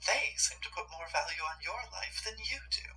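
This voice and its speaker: Woman doing a cold scheming otherworldly curator, super dramatic and sardonic, swingy pitch, bit far off the mic. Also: lively intonation, sharp tone, slight background noise. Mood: smug, calculating. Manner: haughty, dramatic, sardonic, deliberate. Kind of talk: dramatic roleplay acting.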